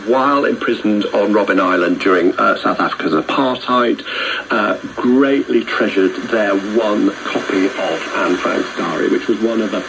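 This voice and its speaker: A man's voice: lowish voice, vocal fry, heavy background noise. A man explaining history in a radio interview clip, heard through shortwave reception.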